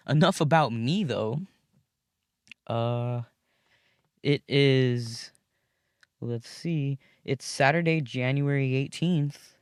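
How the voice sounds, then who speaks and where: Young guy talking slow from his car, thin voice no bass, swears a lot, dry close sound. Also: no echo. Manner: rambling, profane, laid-back with frequent fillers. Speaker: podcast host. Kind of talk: casual solo podcast talk. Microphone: phone recording.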